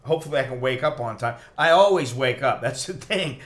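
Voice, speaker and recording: A man's voice: medium pitch, lively intonation, fairly quick, a bit bright. Blunt, tipsy middle-aged American man. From United States. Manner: blunt, profane, opinionated. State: tipsy after a few drinks. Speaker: expat vlogger. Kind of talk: casual rambling livestream chat.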